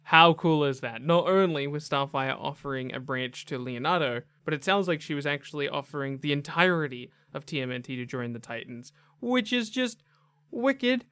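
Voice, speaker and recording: A man's voice: fairly high pitch, normal speed, a bit bright, smooth. A young man giving casual, enthusiastic, chatty video game commentary with emphatic delivery. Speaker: gaming video host.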